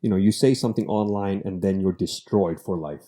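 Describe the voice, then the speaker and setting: A man's voice: deep voice, fairly quick, mellow. A man hosting a casual conversational interview, talking in an earnest way and thinking aloud as he goes. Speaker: podcast host.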